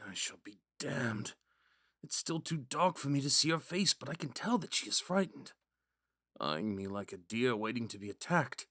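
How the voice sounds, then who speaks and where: A man's voice: medium pitch, expressive, normal speed, a bit bright, no room sound, studio quality. Man playing a wistful young prince, swooping intonation. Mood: apologetic, reassuring. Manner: musing, hesitant, courteous, with sighs and whispered asides. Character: young prince, third in line, wistful, gentle, smitten romantic. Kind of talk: romantic audio roleplay with inner monologue.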